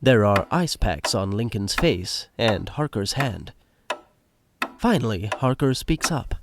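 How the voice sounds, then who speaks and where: A man's voice: on the low side, lively intonation, takes it slowly, noisy background. A man reading scripted narration for an audio drama. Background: music.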